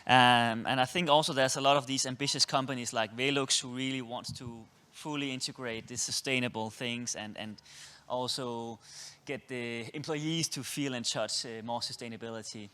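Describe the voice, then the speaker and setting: A moderator asking an investor-pitch founder follow-up questions, rambling with restarts. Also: steady tone, normal speed, hardly any pauses, pushed and bright, clear, resonant tone.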